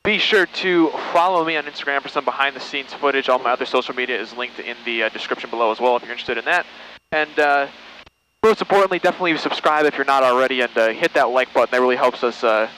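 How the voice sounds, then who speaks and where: A man's voice: medium pitch, fast, bright, forceful tone, slight background noise, rough recording. A young man in his twenties from Ohio, chatting casually in a vlog at an airfield and in a small plane's cockpit, with many "uh" fillers. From Ohio, USA. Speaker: aviation vlogger. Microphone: headset mic in the cockpit.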